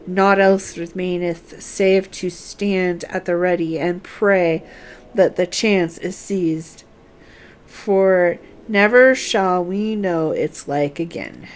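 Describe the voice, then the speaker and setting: A woman's voice: on the low side, slow pace, slight background noise. A woman game streamer reading on-screen dialogue aloud and adding casual commentary.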